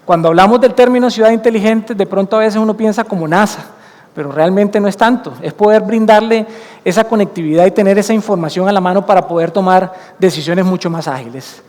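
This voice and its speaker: A man with a Colombian accent giving an earnest conference talk, explaining his points and slowing down to stress the key ones. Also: sits high, a little mellow. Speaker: conference speaker. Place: conference hall stage. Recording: long hall reverb from a conference room.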